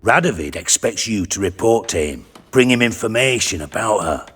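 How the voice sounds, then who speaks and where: An actor playing a cunning, theatrical spymaster in a game, with a measured, persuasive delivery. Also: male voice, fairly low pitch, expressive, a bit bright, slightly rough. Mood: calculating. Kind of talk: cinematic game voice acting.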